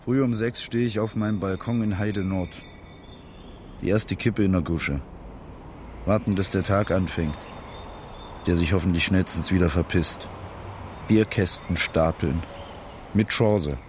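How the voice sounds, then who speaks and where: A man's voice: deep voice, a little slow, long pauses, noisy background. An actor playing a weary, bitter working-class man who narrates his dead-end life in terse, slangy fragments in a radio drama. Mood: weary, sardonic. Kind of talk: radio drama interior monologue.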